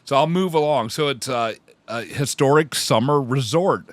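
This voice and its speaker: A man with a Kentucky accent hosts a relaxed travel podcast from a home theater room. He rambles, restarts his sentences and reads fun facts aloud. His mid-pitched voice is recorded close and dry, with no room sound. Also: moderate pace, dry recording. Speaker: podcast host. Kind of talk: casual podcast chat.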